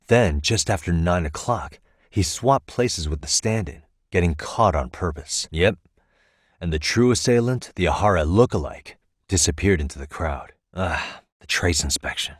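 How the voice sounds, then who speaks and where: An actor playing a sharp, wry private detective in a video game, with an American accent and a casual, persuasive delivery. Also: male voice, low voice, husky, dry recording, studio quality. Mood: analytical, focused. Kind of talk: English video game dub acting.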